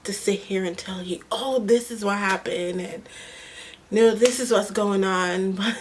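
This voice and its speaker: Woman in her twenties or so, talking slow and heartfelt into her vlog cam, lots of pauses. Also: mid-range voice, steady tone, slight background noise. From Guyana. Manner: slow, earnest and reflective, with pauses. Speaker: vlogger. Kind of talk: unscripted personal vlog.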